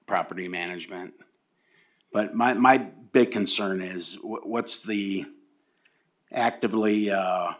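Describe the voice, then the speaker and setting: An older man giving a public comment at a county meeting, halting and often repeating words as he restarts his sentences. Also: deep voice, lo-fi sound. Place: county commission meeting room.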